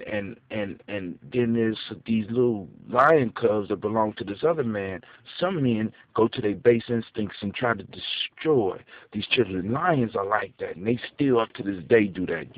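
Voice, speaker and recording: A man telling a folktale off the cuff for grown-up listeners, dramatic and emphatic, repeating phrases for effect. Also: lowish voice. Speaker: storyteller. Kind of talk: improvised oral folktale telling for adults. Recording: echoey, muffled phone-like audio.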